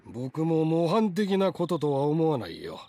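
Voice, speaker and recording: An actor playing a courteous, calculating police chief in a Japanese visual novel, politely applying pressure. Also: male voice, fairly high pitch, sing-song, brisk, dark tone. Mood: politely pressuring. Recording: game audio over insect and street ambience.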